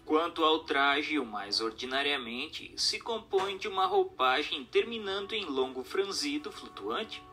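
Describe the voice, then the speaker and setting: A man reading a formal text as scripted narration in a measured, didactic manner. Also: fairly high pitch, lively intonation, pushed and bright. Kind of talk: scripted audiobook-style narration of a spiritist text. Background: music.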